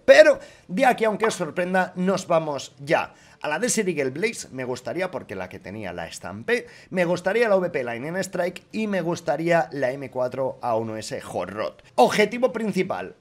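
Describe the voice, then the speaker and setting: A man in his thirties with a Castilian Spanish accent gives casual, expressive gaming commentary full of colloquial swearing. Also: on the high side. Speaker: YouTuber streaming case openings. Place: small home studio room with foam panels. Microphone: desk microphone on boom arm.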